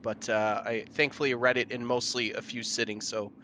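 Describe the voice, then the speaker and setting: A man's voice: mid-range voice, level tone, moderate pace, bright, forceful tone, slight background noise. A man chatting casually and enthusiastically, with some slang, as a player in a tabletop game. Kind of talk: casual chat.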